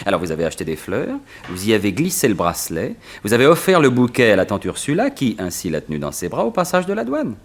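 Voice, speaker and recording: An actor playing a suave, mocking gentleman thief who turns bullying, in a theatrical 1960s French radio serial style. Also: fairly low pitch, wildly swooping pitch, fast talker, hardly any pauses. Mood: amused, mocking.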